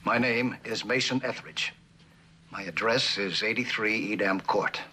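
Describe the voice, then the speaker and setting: A middle-aged American man of sober conscience in a vintage television drama. His low voice has a hard, forward edge and he picks his words haltingly and deliberately. Faint noise sits on the old soundtrack. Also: sharp tone. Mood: halting, grave. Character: earnest, conscience-stricken company man testifying for another. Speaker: actor playing a witness. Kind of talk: 1960s television drama acting.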